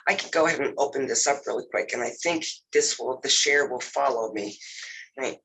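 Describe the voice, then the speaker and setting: A man's voice: mid-range voice, gravelly, husky, poor audio. Nasal congested man teaching a lab. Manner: relaxed, filler-heavy, says "you know" often. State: has a cold. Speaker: lab instructor. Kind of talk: casual recorded lab walkthrough over a video call.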